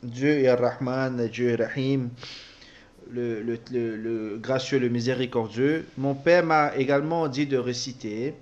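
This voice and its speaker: A man interpreting a personal testimony, speaking haltingly with repeated words and self-corrections. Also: medium pitch, steady tone, a little mellow, lo-fi sound. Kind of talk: spoken translation of a personal testimony.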